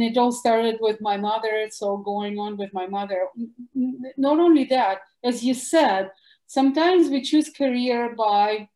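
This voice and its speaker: Russian-accented woman, kinda high voice, gets loud on key words, echoey room. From former Soviet Union. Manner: animated, emphatic, bursts of louder words. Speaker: guest doctor. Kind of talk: interview answers.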